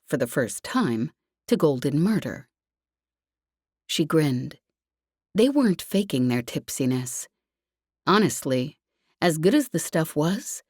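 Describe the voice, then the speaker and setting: Woman narrating a novel, deep voice for a lady, lots of pitch movement, very dry recording. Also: mellow, no room sound. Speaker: audiobook narrator. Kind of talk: scripted fiction narration. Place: dry, close recording space.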